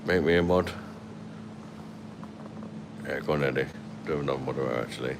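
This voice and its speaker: A man's voice: a bass voice, a little fast, noisy background. A man in his thirties with a London accent, hosting a casual livestream from a hotel room at night on a phone held at arm's length, blunt and sweary as he reads out comments. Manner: blunt and sweary, laughs often. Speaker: livestream host. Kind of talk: casual live chat reading comments.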